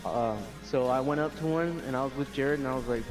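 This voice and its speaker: A man's voice: mid-range voice, fairly quick, over music, low-quality recording. A young man casually telling anecdotes to a friend, rambling, slangy and full of swearing. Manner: slangy, profane, loose and rambling.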